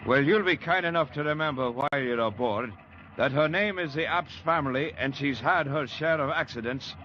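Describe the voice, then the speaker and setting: An actor playing a stern, commanding older ship captain in a vintage radio drama. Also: male voice, fairly high pitch, normal speed, pushed and bright, a little hiss or hum. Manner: gruff, commanding. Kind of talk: 1940s radio acting. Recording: dull old radio broadcast recording.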